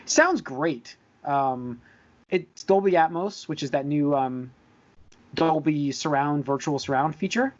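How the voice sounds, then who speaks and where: A man with a slightly high-pitched voice chats casually as a podcast host. He rambles and wanders between points. The audio is somewhat dull and lo-fi. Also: takes it slowly.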